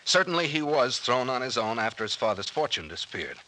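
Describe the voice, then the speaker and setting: Confident detective captain, vintage radio serial, lively pitch swings, forward bright tone, brisk storyteller. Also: male voice, slight background noise, poor audio. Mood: explaining, assured. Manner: brisk, slangy, expository. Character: confident male detective captain explaining a mystery. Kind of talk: 1940s radio drama acting.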